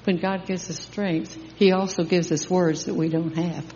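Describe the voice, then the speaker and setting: An older woman sharing an emotional church testimony in a heartfelt, halting way. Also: fairly low pitch, fairly even intonation, slight background noise. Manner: emotional, heartfelt, with soft laughs. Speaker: congregation member sharing testimony. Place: church sanctuary. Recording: echoey church hall, dull sound.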